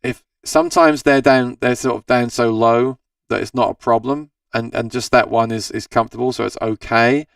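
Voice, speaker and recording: Tired, gravelly-voiced man chatting live. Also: mid-range voice, fairly even intonation, moderate pace, few pauses, no room sound, studio quality, distant mic. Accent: Australian. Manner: rambling, self-correcting, chatty. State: tired, scratchy voice. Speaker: host of a live gear Q&A stream. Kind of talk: casual live-stream chat answering viewer comments.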